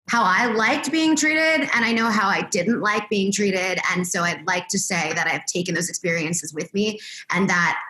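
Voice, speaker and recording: Podcast guest, woman, big projecting voice, bright and forceful, echoey room. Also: on the low side. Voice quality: loud, projecting voice. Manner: candid, upbeat, laughs often. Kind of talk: casual podcast chat.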